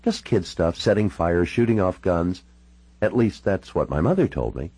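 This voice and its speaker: A man's voice: low voice, lively intonation, normal speed, darkish tone, breathy edge, no room sound, poor audio. A man voicing a troubled, sardonic, hard-drinking woman with a wry, frank and informal way of talking, in audiobook dialogue. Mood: matter-of-fact.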